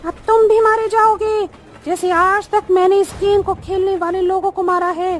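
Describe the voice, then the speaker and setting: A woman's voice: extremely high pitch, level tone, moderate pace, slight background noise. A woman voicing a fierce, murderous witch spirit in a horror cartoon story, menacing and threatening.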